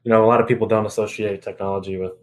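Young adult male, slightly low pitch, podcast co-host, casual conversation. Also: flat delivery, rapid-fire.

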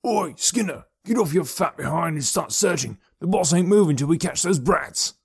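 A man voicing a rough, bullying henchman in an audiobook adventure, barking out shouts during a hunt. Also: a little high, animated, moderate pace, voice pushed up, exaggerated voice. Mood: scolding. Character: rough, bullying henchman hunting runaway children.

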